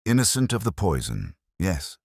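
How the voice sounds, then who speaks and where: Audiobook sleuth, played by a male narrator: extremely low male voice, intense, commanding, deliberate and rhetorical, dry close sound. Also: sing-song, breathy edge, no room sound, heavily acted. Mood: probing, grave. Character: brilliant, intense male detective, commanding and cryptic.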